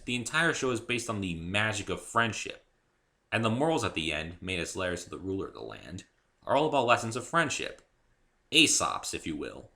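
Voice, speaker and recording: Male amateur narrator, low and very sharp-toned, theatrical scripted delivery with emphatic phrasing. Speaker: amateur author reading own story. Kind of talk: dramatic reading, scripted narration.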